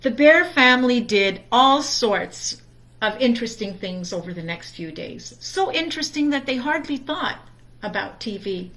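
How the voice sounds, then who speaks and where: A woman reading a children's story aloud in a deliberate, expressive storytime manner. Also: fairly high pitch, low-quality recording. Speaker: storytime reader.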